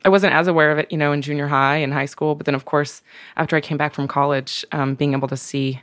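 Low-voiced young woman, chatty guest. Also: fairly even intonation, quick pace, no echo. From Oregon, USA. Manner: chatty, laughs easily, many ums and restarts. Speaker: podcast guest, wine brand manager. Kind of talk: casual interview chat.

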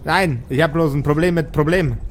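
A man's voice: on the high side, brisk, slight background noise, natural voice. A man voicing a nervous, exasperated old wizard who stammers, repeats himself and grumbles, in an improvised fantasy audio play. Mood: panicked. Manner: stammering, flustered, muttering repetitions.

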